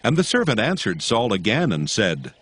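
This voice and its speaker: A man narrating scripture from a script in a measured storytelling manner. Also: on the low side, wildly swooping pitch, a bit bright, breathy edge.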